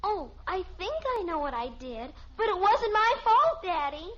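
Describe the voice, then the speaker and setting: Mischievous young tomboy daughter, extremely high voice, innocent and literal, muffled vintage radio sitcom. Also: female voice, big pitch swings, moderate pace, a bit bright, exaggerated voice. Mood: sheepish. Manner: sheepish, literal-minded excuses. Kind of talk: 1950s radio sitcom acting. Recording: muffled, hissy old radio broadcast.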